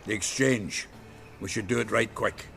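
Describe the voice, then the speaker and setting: An actor playing a gruff, jovial, foul-mouthed dwarf in a video game, talking bluntly and heartily. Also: male voice, fairly low pitch, normal speed, a bit bright, soft background music. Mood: jovial banter. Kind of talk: video game character acting.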